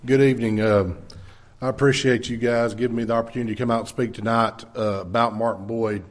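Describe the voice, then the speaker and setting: A man, a care home's executive director, giving a plainspoken presentation to a church with many fillers and a loud voice. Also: medium pitch, steady tone, quick pace. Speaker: executive director of a care home, guest speaker.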